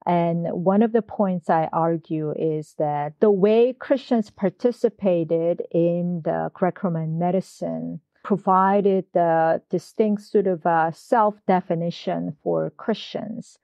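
Low, gravelly-voiced woman scholar. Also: slow, few pauses, dry recording, dull audio. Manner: hesitant, many fillers and restarts. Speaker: guest scholar, history professor. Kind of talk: academic interview answers.

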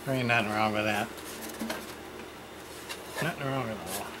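A man's voice: mid-range voice, fairly even intonation, moderate pace. A middle-aged man muttering short, casual, unscripted remarks to himself while handling things in a cluttered room. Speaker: hobbyist unboxing a radio parts package. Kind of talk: casual unscripted unboxing commentary. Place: small cluttered room indoors. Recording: very noisy home camcorder audio, rustling.

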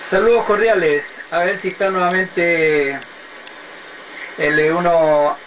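A man's voice: high-pitched, steady tone, slow pace. A middle-aged man chatting casually and giving call signs on amateur radio. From Chile. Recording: noisy amateur radio satellite contact.

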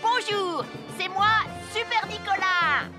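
A woman's voice: very high voice, slow pace, loud music behind the voice. A woman voicing a cheeky, reckless young boy, bossy and boastful, in a French cartoon dub.

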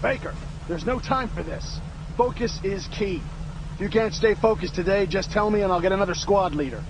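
An actor playing a stern, no-nonsense American army sergeant with a firm, authoritative manner in a war video game. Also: male voice, high voice, moderate pace, background noise. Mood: stern warning.

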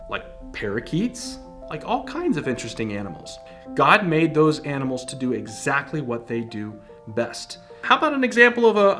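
A young man teaching a scripted Bible lesson to children in a studio, animated and asking the kids questions. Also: medium pitch. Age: young adult. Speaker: church children's program teacher. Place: studio with green-screen background.

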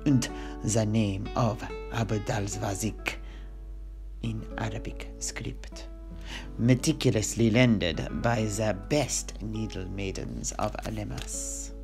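A man narrating a fantasy history as a storyteller, with dramatic pauses and direct asides to the listener. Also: lowish voice, creaky, music in the background.

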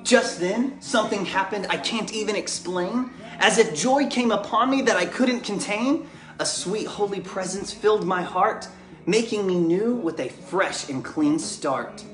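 A man in his thirties with an American accent, reading a bedtime story aloud and chatting casually with viewers, gentle and encouraging as if to a child. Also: sits high, unhurried. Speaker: father and children's book author reading aloud. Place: living room at home, indoors. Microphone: camera or phone propped just beyond arm's length. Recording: echoey living room, music behind.

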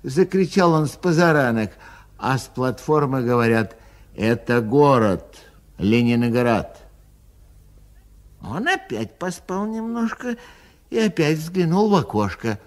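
A man reading a children's rhyming poem aloud in a sing-song, lively way. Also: mid-range voice, slow pace. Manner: lively, sing-song delivery with a recurring refrain. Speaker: narrator.

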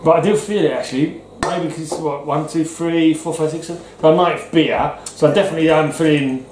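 A man's voice: a little high, steady tone, brisk. A middle-aged man with a casual, tipsy, swearing manner, chatting while tasting beers with a friend at home. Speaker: co-host of a beer tasting show. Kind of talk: casual beer tasting chat. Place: home kitchen, indoors. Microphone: fixed camera across the table. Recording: echoey kitchen with faint background hum.

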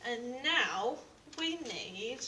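A woman's voice: fairly high pitch, lively intonation, drawn-out words. A young woman, a primary school teacher, giving simple step-by-step instructions and reading to small children. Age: young adult. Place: indoors in a school reading corner. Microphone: camera a few feet away. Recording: distant mic with background room noise.

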